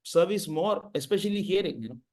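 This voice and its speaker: A man with a somewhat high voice leads an online session. He talks gently and encouragingly, and he repeats his main points so they sink in. It sounds like a video call. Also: lively intonation, fairly quick. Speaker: devotee counselor leading the session. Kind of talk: casual spiritual advice on a call.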